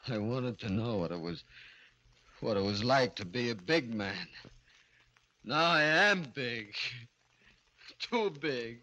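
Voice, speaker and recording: A man's voice: mid-range voice, expressive, takes it slowly, long pauses, pushed and bright, low-quality recording. An actor playing a tormented murderer, a small man grown into a giant, in 1940s radio horror style. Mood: bitter, hysterical. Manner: melodramatic, anguished. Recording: old radio recording with faint music.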